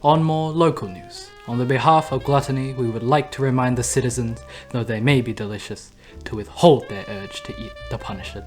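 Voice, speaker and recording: A man's voice: mid-range voice, a little fast, darkish tone, close to an everyday voice. Suave, sardonic demon radio host voiced by a man. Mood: breezy, sardonic. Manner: suave, mock-polite, sardonic. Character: smug, theatrical male demon radio host of hell. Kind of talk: scripted comedic radio broadcast. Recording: music under the voice.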